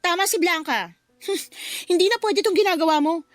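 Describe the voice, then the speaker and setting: High-voiced, sassy Filipina mistress. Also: female voice, normal speed, no room sound. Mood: indignant. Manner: sassy, exclamatory. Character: feisty, sassy young kept woman, quick to complain. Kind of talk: Filipino radio drama acting. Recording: music behind the voice.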